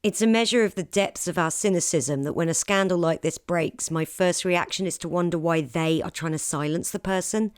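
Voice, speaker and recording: A cynical, suspicious woman played by a voice actress reads out her online opinions in a pointed way. Her voice is on the low side. The recording is dry and close. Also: normal speed, few pauses, clean tone, dry recording, natural voice. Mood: cynical, dismissive. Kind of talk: dramatic reading of social media posts.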